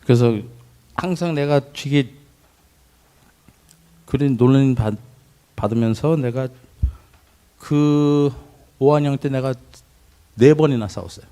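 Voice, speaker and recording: A middle-aged man giving a personal testimony, recalling his childhood in a reflective way with pauses and restarts. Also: medium pitch, dark tone, clean tone. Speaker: testimony speaker.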